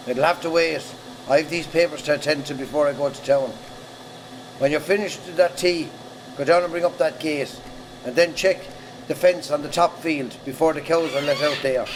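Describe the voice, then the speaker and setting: A bossy, disapproving farmer , acted by a man, with a rural Irish accent and a slightly high voice. He scolds his grown children in gruff drama dialogue, with faint noise behind him. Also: moderate pace, long pauses, natural voice, low-quality recording. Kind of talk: amateur radio-style stage drama acting.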